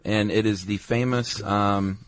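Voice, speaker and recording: A man's voice: fairly low pitch, steady tone, a little slow, no room sound. An American man chatting casually as a podcast co-host, with informal, slangy phrasing. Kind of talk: casual conversational banter.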